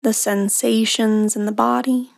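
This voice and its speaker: A woman leading a guided meditation in a hushed, breathy, soothing delivery with long pauses between phrases. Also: on the high side, little pitch movement. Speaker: meditation guide, podcast host.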